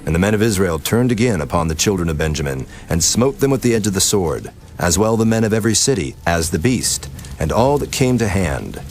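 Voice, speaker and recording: A man narrating a dramatized scripture reading in a solemn, measured way, with music behind. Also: low voice, sing-song, a little mellow, slight background noise. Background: background music.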